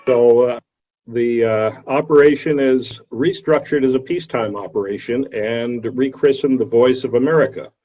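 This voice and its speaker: A man delivers an explanatory lecture in a mellow, relaxed voice at a middling pitch. He is slightly hesitant, and the video-call audio sounds a little dull. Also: moderate pace, soft background music. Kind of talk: illustrated history lecture. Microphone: video call microphone.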